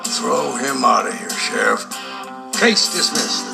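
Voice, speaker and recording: Stern old race car judge for a storybook: gravelly, blunt, folksy, lively inflection over loud music. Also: male voice, medium pitch, slow pace, exaggerated voice. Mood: stern, commanding. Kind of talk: storybook character acting.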